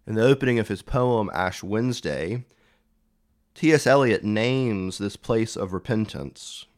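A man preaching a reflective homily in measured, deliberate phrases. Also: lowish voice.

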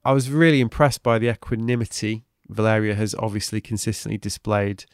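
Low-voiced man hosting a podcast. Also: little pitch movement, smooth, no room sound, clean studio sound. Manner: thoughtful, halting, trails off. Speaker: podcast host. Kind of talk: reflective interview chat.

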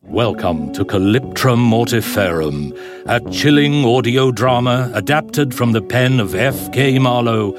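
A man's voice: mid-range voice, expressive, measured pace, music in the background. A man announcing a horror audio drama in a scripted, chilling introduction style.

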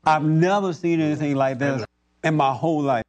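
A man's voice: on the high side, normal speed, a little mellow, highs cut off. A man hosting an informal preaching discussion, speaking in a folksy, plainspoken way with loose grammar. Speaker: host leading a group discussion. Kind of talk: informal preaching talk with audience questions.

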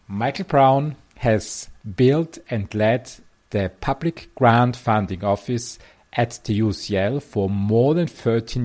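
A man hosting a podcast who reads a formal introduction and then asks interview questions in a measured way. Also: on the low side, darkish tone. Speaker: podcast host.

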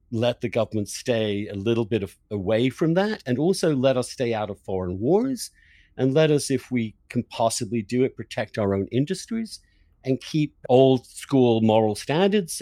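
Man interviewer with very animated pitch. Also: no room sound, clean studio sound. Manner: thinking aloud, repeats words for emphasis. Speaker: interviewer, podcast host. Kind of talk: conversational, discursive interviewing.

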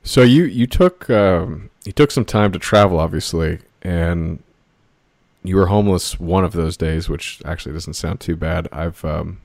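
A man's voice: low-pitched, unhurried, darkish tone, no echo, clean studio sound. A man hosting a podcast, asking curious, rambling questions in a casual interview. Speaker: podcast host.

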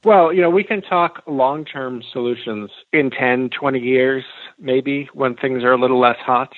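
A man's voice: mid-range voice, measured pace, no room sound, narrow, tinny audio. An American man speaking as an expert guest, explaining his points in answer to interview questions. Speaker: expert guest, law professor and author.

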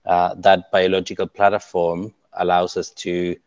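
Uruguayan man, low voice, dull dry audio. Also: level tone, unhurried, darkish tone, smooth, dry recording. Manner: hesitant, many fillers and restarts. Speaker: climate tech startup co-founder. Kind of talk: interview answers.

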